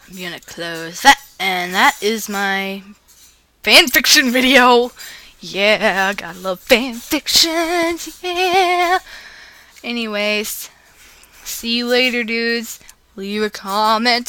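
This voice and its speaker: A woman with a bright, sharp-edged voice hosts a casual reading video. She sounds informal and giggly, moving between loose vlog chat and amateur read-aloud storytelling. Words sometimes trip her up as she goes. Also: a little high, no echo. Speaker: host of a fan fiction reading video.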